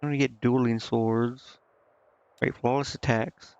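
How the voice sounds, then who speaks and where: A man's voice: medium pitch, little pitch movement, a little slow, creaky, a little mellow, no echo, dull audio. A man giving casual gameplay commentary, indecisive and muttering to himself as he streams. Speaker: game streamer. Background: game music.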